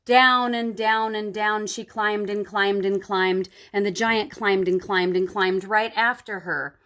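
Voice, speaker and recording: A woman tells a children's story in a medium-pitched voice. She reads expressively, with dramatic emphasis on the words, as a storyteller for young listeners. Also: steady tone, slow, a bit bright. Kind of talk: expressive picture-book read-aloud.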